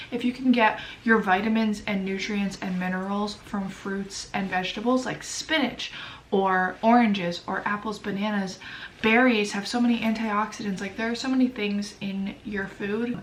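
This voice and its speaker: A woman's voice: medium pitch, a little hiss or hum. A young woman with a stuffy, sniffly voice chatting casually to camera as a vlogger, full of fillers and self-deprecating asides. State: congested, sniffly. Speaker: lifestyle vlogger. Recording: echoey room, voice a bit far from mic.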